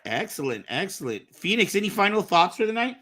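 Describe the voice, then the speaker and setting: A man's voice: high voice, very animated, fairly quick, a bit bright. An American man hosting a talk show in a casual, conversational style. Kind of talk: casual podcast chat.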